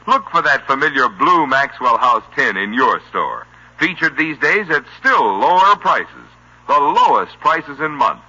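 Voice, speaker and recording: A man's voice: medium pitch, sing-song, a little slow, pushed and bright, breathy edge. An American man reading a commercial as an announcer in 1950s radio style. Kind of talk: 1950s radio advertising read. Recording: muffled old radio broadcast.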